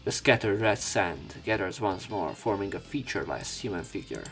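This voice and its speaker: Low-voiced man reading a game story aloud. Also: very animated, normal speed. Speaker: let's play narrator reading a visual novel aloud. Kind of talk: read-aloud narration with casual commentary. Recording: game music behind.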